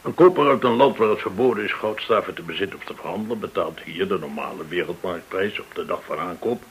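An actor in a radio drama playing a courteous, smooth-talking gold dealer, effusively polite and theatrical in manner. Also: male voice, deep voice, fast, heavily acted, poor audio. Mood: smooth, explanatory. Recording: hissy old radio drama recording.

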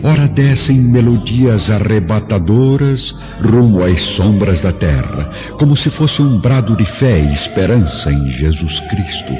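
A man's voice: on the low side, lively intonation. A man with a Brazilian accent narrating a spiritual radio drama in a grave, exalted, grandiose manner. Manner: slow, grandiose. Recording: muffled radio drama under clear music.

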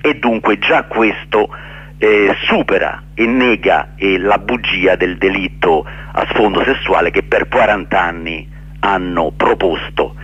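A man answering radio interview questions earnestly and haltingly, repeating words for emphasis. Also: deep voice, fairly bright tone, slightly rough, muffled recording. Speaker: interview guest, actor and director. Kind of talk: radio interview answers, explaining his play.

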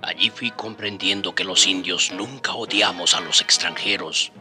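Man playing dignified storyteller, radio drama narration, low voice, measured, earnest, music behind. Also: fairly even intonation, close to an everyday voice. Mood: earnest, reflective.

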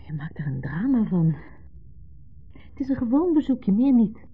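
An actress playing a patient, mildly exasperated wife in a 1970s radio play, reassuring and matter-of-fact. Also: female voice, fairly high pitch, sing-song, moderate pace, breathy edge, exaggerated voice. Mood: soothing, insistent. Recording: muffled narrow-band 1970s radio recording.

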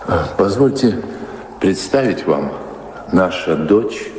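An actor playing a reserved, wistful married father in an audio drama, speaking in a polite, hesitant, measured way. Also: low-pitched, lively intonation, a little mellow. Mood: puzzled. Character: courteous, reserved married father, wistful about unlived adventures. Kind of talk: Russian audio drama acting. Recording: echoey hall, clear background noise.

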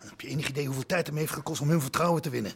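A crooked policeman in a radio play, very husky and rather high. He talks fast, anxious and defensive, like someone cornered. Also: exaggerated voice. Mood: angry, accusing. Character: anxious, aggrieved corrupt policeman. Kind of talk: radio drama acting.

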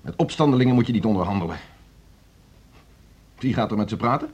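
An indignant hard-liner of a music teacher in a radio drama. His voice is rough-edged and very lively in pitch. He is curt and combative, always aggrieved. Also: fast. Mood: insistent, suspicious. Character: indignant hard-line male music teacher demanding punishment. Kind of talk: Dutch radio drama acting.

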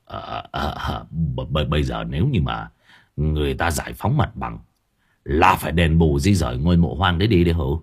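A man's voice: rumbling low, expressive, moderate pace, slightly rough, voice pushed down, full character voice. A man voicing a crude, grumbling village husband who curses and stammers with hesitant fillers.

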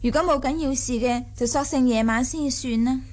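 A woman's voice: sits high, moderate pace, darkish tone, close to an everyday voice. A woman playing a young, shrewd, imperious mistress of a rich household in a Cantonese radio drama. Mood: put-upon. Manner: imperious, smoothly sociable.

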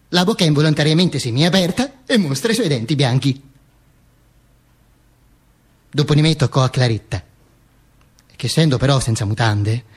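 A man's voice: a little high, slightly rough. A man performing a dramatic radio monologue in a declamatory way, with emphatic, repeated phrases. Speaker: stage actor performing a monologue.

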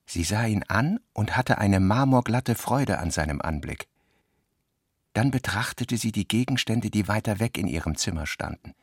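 A man's voice: fairly low pitch, lively intonation, normal speed, husky, dry recording, studio quality. A man reading literary prose as the narrator of a radio drama.

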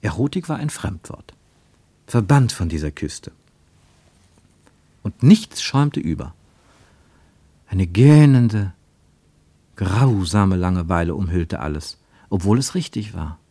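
A man with a low voice narrates a radio travelogue in a measured, wryly ironic tone. The studio sound is dry and close, with no room around him. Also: expressive, dark tone, slightly rough, no echo. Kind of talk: scripted literary narration; 1950s radio play.

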